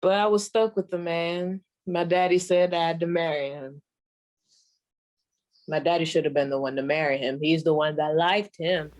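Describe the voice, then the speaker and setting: A woman playing a sassy old comedienne griping about her ancient husband, in the deadpan, drawn-out style of a 1940s radio stand-up monologue. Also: low-pitched, dull audio, close to an everyday voice. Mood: dry teasing. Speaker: comedienne performer.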